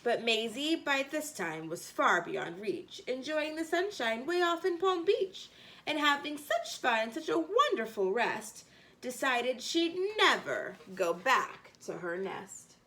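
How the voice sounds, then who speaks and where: A woman reading a rhyming children's story aloud, expressively, with theatrical emphasis and drawn-out phrases. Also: high-pitched, big pitch swings, bright, forceful tone.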